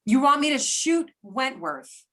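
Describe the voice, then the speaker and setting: Lady acting as a feisty agent, blunt and challenging, kinda high voice. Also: very animated, a little slow, a bit bright, heavily acted. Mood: questioning, reluctant. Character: feisty female agent and crack shot. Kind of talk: readers theater acting.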